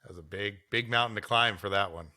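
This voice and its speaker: A man's voice: lowish voice, fast, sharp tone. A man co-hosting a comedy podcast, moving between scripted storytelling and bantering chat.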